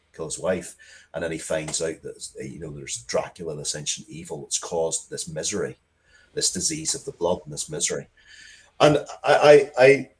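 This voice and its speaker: A man speaking as an interviewed writer, rambling conversationally and bluntly through stories about his own work. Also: deep voice.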